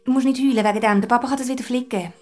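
A woman's voice: on the high side, fairly even intonation, racing, a little mellow, soft background music, lightly acted. An actress in a radio play playing a determined woman violinist and mother, speaking German with a Swiss accent. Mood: soothing. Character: determined female orchestra violinist and mother confronting a killer.

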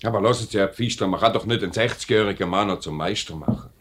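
An actor playing an assured, plain-spoken company director with a Swiss German accent in a 1950s radio drama, brisk and authoritative. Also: male voice, fairly low pitch, a bit bright. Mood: persuasive.

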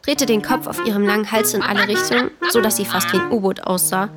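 A woman's voice: mid-range voice, quick pace, close to an everyday voice. A woman playing a sulky, slangy teenage girl who narrates her family life in a children's radio play. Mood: wry narrating. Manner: casual, grumbling youth slang. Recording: music playing behind the voice. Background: loud music.

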